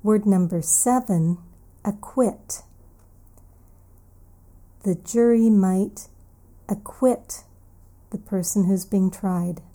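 Woman, English teacher, lesson host, slow careful delivery, repeats key words, very mellow dark tone. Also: medium pitch. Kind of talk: English vocabulary lesson for learners.

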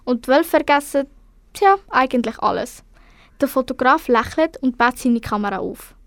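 A woman's voice: sits high, animated, normal speed, no echo. A teenage girl with a Swiss accent, narrating a scripted children's story. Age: teens. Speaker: storyteller in a school radio play.

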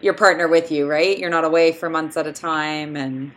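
A woman's voice: low voice, steady tone, normal speed. A woman hosting a casual interview, chatty and affirming, often tagging questions with "right". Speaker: host.

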